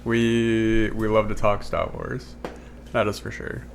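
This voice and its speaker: A man's voice: on the low side, steady tone, slow, gravelly, slight background noise. A man co-hosting a casual podcast, easygoing and playfully argumentative. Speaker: podcast co-host. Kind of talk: casual chat.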